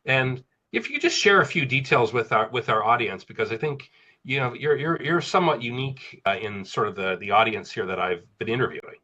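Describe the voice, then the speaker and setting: A man hosting an interview, upbeat and a bit hesitant, often filling pauses with uh and you know. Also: medium pitch, very animated, bright, forceful tone. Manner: upbeat, hesitant, many fillers. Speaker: interviewer, host. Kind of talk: interview questions. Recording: dull lo-fi audio.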